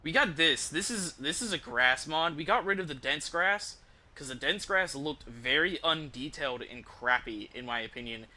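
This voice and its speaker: A man's voice: fairly high pitch, lively intonation, moderate pace, sharp tone. A man giving casual live stream commentary as a game streamer, talking in an informal, enthusiastic, rambling way.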